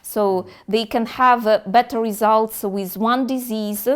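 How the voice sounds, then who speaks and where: A woman specialist explaining a medical treatment in careful. She hesitates often, filling gaps with "uh" and "um" while she searches for the right words. Her explanations come slowly and patiently. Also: a little high, steady tone, clean tone. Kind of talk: interview answers.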